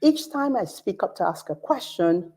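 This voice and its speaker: A woman's voice: medium pitch, lively intonation, moderate pace, warm tone, slightly rough. A woman in her forties with a Nigerian accent, speaking as an academic director on a conference panel in an earnest, storytelling way.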